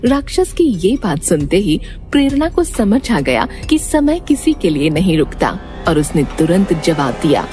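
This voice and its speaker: Woman reading a scripted tale, expressive, kinda low voice, music playing behind. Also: unhurried, hardly any pauses. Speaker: narrator. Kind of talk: scripted story narration.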